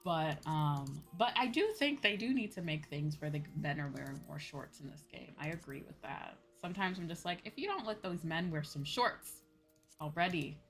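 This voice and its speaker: Chatty woman streamer over clear background music. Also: low voice, animated, moderate pace, a bit bright. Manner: informal, chatty, peppered with "you know" and "like". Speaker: streamer playing an online game. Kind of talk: casual livestream chat, thinking aloud while playing.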